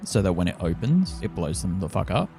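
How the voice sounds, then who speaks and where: A man's voice: low-pitched, very animated, brisk, creaky, music in the background. A man playing a tabletop game, casually talking tactics with the group.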